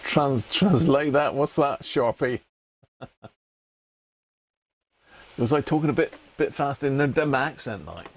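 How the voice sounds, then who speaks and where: A man's voice: mid-range voice, animated, slow, long pauses, a little hiss or hum. A British man hosting a livestream, chatting casually and colloquially as he thinks aloud. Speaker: livestream host. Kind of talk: casual rambling chat to live viewers.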